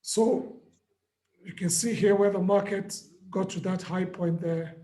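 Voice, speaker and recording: Hoarse male trading mentor, high voice, patient and repetitive, echoey room. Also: fairly even intonation, unhurried, mellow. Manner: patient, repeats key points. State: hoarse, lost voice. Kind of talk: live trading lesson.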